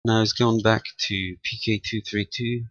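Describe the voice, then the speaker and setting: A man giving a casual, unscripted technical demonstration as a radio hobbyist, talking haltingly and half muttering to himself as he works. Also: lowish voice, fairly even intonation, a bit bright, no echo, dull audio. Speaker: amateur radio operator demonstrating equipment.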